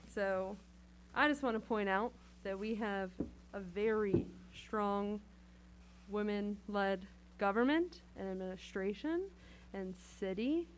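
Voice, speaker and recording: A woman on a city council giving a prepared, earnest speech, speaking directly and deliberately to the room. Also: medium pitch, slight background noise. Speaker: council member.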